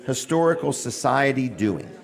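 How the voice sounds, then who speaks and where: A folksy man hosting a local radio show, answering his guest with quick little reactions. His voice sits at a middle pitch for a man. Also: lively intonation, gravelly. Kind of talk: casual radio interview.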